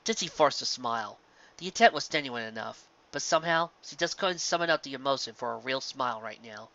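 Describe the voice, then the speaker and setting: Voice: mid-range voice, lively intonation, a little fast, creaky, bright, forceful tone, lo-fi sound. A narrator reading a scripted story aloud. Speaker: narrator of fan fiction.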